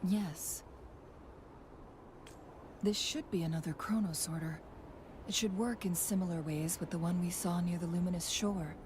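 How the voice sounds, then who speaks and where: An actress voicing a calm, thoughtful young woman in a fantasy video game, soft-spoken and measured, with music behind her. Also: fairly low pitch, noisy background, natural voice. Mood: calm, focused. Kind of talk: scripted video game dialogue.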